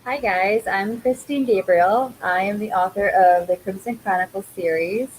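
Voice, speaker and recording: A woman's voice: mid-range voice, normal speed, a little hiss or hum, poor audio. A woman introducing herself as an author in a friendly, slightly hesitant panel chat. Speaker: author guest.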